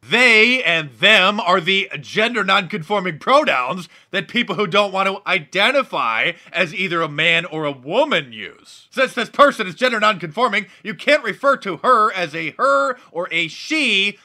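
A man's voice: high voice, lively intonation, measured pace, few pauses, bright, forceful tone. A man delivering scripted, opinionated commentary on the news in an indignant, emphatic way. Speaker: online video commentator.

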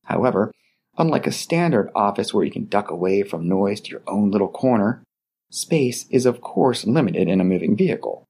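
A middle-aged man with a low, slightly husky voice reads his etiquette podcast script. His delivery rises and falls with plenty of movement. He sounds polite and measured throughout. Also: sing-song, darkish tone. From Baltimore, Maryland area. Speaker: podcast host.

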